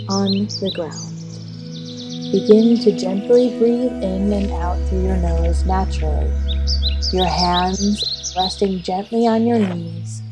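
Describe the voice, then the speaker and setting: A woman leading a guided meditation as an instructor, speaking in a measured, soothing way with long pauses. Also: medium pitch. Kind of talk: slow guided meditation narration. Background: music and birdsong.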